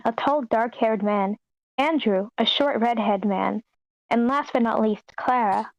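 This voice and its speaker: A woman's voice: fairly high pitch, darkish tone, dry recording. A woman reading a screenplay's stage directions aloud at an online table read, with muffled meeting audio.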